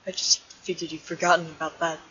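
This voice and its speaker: A woman's voice: sits low, level tone, brisk, highs cut off, noisy background, natural voice. A woman voicing a laid-back adult male skeleton in a fan comic dub, talking casually and joking. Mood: easygoing. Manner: casual, joking, uses nicknames.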